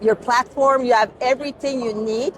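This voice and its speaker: A woman whose first language is Portuguese, pitching her business at an expo in an earnest way, searching for words and repeating her phrases. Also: fairly even intonation, moderate pace. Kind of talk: casual sales pitch interview. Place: busy expo hall. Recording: noisy crowded expo hall. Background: crowd chatter.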